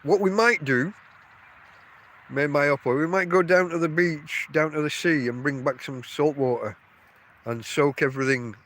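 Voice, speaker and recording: A man's voice: on the high side, normal speed, a bit bright, a little hiss or hum. A middle-aged man with a stuffy nose, rambling through a solo podcast outdoors by his tent. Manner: rambling, chatty, self-interrupting. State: congested from a cold. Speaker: podcast host. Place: outdoors at a tent camp in a field.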